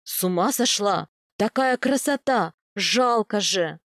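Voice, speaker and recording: A woman's voice: medium pitch, lively intonation, slow pace, fairly bright tone, dry recording, studio quality. A woman voicing a stubborn, protective young warrior man who talks bluntly in a fantasy audiobook. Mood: startled, reluctant.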